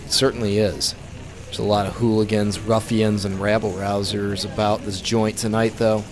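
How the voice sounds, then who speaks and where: Man as a lowish-voiced, suave speakeasy owner. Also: level tone, moderate pace, lightly acted. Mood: shrewd, businesslike. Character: young, suave, shrewd well-to-do speakeasy owner. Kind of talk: 1920s old-time radio drama acting. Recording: busy bar crowd behind.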